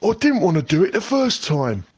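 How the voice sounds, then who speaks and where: A man doing a husky, gruff old burglar. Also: high-pitched, sing-song, darkish tone, no room sound, pitched above the performer's own voice, full character voice. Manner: rough dialect speech, slow drawling lines. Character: gruff male burglar, rough-spoken and soft-hearted. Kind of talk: storybook read-aloud.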